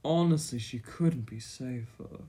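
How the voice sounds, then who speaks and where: A man's voice: medium pitch, mellow, husky. A man playing a self-assured, courtly and condescending vampire prince in a scripted fantasy ASMR roleplay. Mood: haughty, persuasive. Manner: formal, condescending, deliberate.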